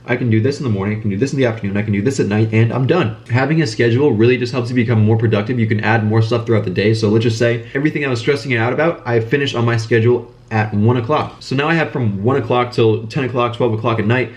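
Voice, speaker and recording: Young man, nasal from a cold, quick talker, middle-pitched, earnest advice monologue. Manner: brisk, earnest, repeats phrases for emphasis. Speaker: channel host giving business advice. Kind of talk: casual advice monologue to camera.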